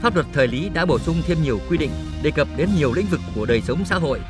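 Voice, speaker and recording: A man reads formal, scripted historical narration. His voice sits a little high, and music plays clearly behind him. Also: animated, fast talker.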